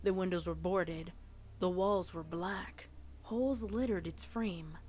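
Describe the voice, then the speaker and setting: A woman's voice: lowish voice, slow, a little mellow. A woman reading fan fiction aloud as a storyteller, with casual reaction asides. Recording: faint background noise.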